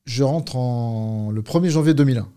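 A man in his fifties from Paris, a police unit leader talking through his career as a guest, measured and hesitant with fillers. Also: medium pitch, warm tone. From Paris, France. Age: 50s. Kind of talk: conversational interview.

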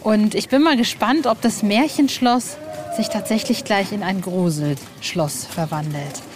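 A woman's voice: mid-range voice, unhurried. A woman hosting a podcast reportage on the move, speaking in an informal, chatty and curious way. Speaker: podcast host. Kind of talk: casual on-location reportage. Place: on foot around a castle. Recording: noisy location recording with ambience.

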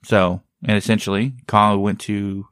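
A man's voice: on the low side, little pitch movement, measured pace, a bit bright, clear, resonant tone, no room sound, clean studio sound. A man hosting a casual chat, rambling and often searching for the right word.

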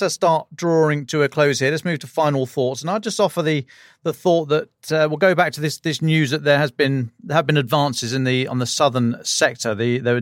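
A man with a medium-pitched voice hosts a defence podcast in a very dry recording. He sets out semi-formal military analysis point by point. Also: dry recording. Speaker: podcast host and defence journalist.

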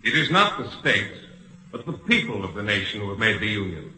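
A grand statesman orator for old-time radio. The delivery is forceful and highly animated, theatrical in every phrase, with long reverb around it. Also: brisk, full character voice. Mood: solemn, impassioned. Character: grand impassioned statesman orator proclaiming to a hall. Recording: echoey hall, long reverb.

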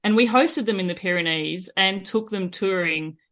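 A woman's voice: medium pitch, normal speed, fairly bright tone. An Australian woman, a podcast guest, telling her story in a chatty, reflective way with frequent fillers. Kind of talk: interview, personal storytelling.

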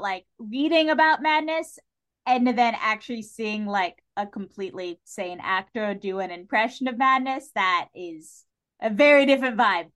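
A woman with a fairly high voice talks casually as part of a podcast panel. She has a wry way of joking while she discusses things. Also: a bit bright.

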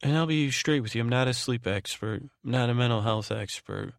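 Male sleep podcast host, mid-pitched with heavy vocal fry, very dry sound. Halting, repetitive, meandering bedtime rambling. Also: no echo. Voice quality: soothing, soft-spoken drone. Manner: meandering, halting, repeats and trails off. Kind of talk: rambling bedtime monologue meant to lull.

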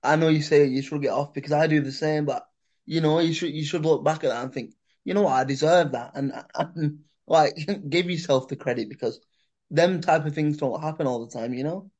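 A male podcast host with a somewhat high voice leads a casual conversation. He rambles and keeps padding his sentences with "sort of" and "like". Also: a little fast, darkish tone. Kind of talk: casual chat.

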